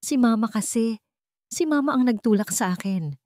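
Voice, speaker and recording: A cowardly husband voiced by a woman begs and makes excuses in a cracked voice. The pitch rises with his pleading, in melodramatic audiobook style. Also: expressive, normal speed, darkish tone, no echo, clean studio sound, lightly acted. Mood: tearful pleading.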